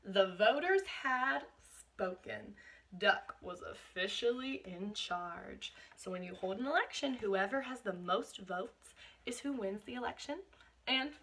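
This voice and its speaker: A woman reading a picture book aloud to children in an expressive teacherly way, stopping to explain things. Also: mid-range voice, measured pace, husky. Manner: expressive, explains words and asks questions to young listeners.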